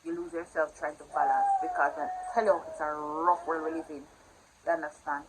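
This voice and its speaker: Jamaican woman vlogger, low voice. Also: flat delivery, a little fast, background noise. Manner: chatty and warm with her viewers, swings loud when playing with her baby. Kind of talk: casual vlog talk to camera. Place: home in the morning, indoors.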